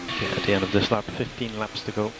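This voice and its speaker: A man's voice: on the low side, little pitch movement, fast talker, over loud music. A man working as a race engineer, giving clipped, matter-of-fact updates over team radio. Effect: team radio transmission. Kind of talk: team radio briefing with gaps, lap times and settings. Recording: noisy race radio with car noise. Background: loud car and engine noise.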